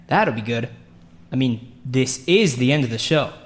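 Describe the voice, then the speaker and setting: A man's voice: mid-range voice, lively intonation, measured pace, lightly acted. A man playing an eager, chatty amateur stage actor in audio drama dialogue. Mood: eager, casual.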